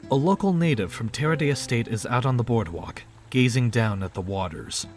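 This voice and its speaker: A man's voice: medium pitch, lively intonation, a little fast. Male storyteller over music. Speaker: narrator. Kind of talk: scripted storybook narration. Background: background music.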